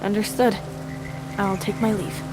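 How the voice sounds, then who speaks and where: A woman's voice: medium pitch, level tone, a little slow, over music, natural voice. An actress playing a determined, courteous woman in a video game, speaking earnestly and politely. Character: determined, courteous woman rallying her companions. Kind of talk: video game cutscene voice acting.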